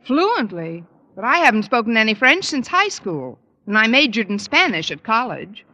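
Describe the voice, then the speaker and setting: An American woman playing a wry, quick-witted schoolteacher with a dry, sardonic delivery in 1950s radio sitcom style. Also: fairly high pitch, very animated, sharp tone, highs cut off, exaggerated voice. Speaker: lead actress and narrator.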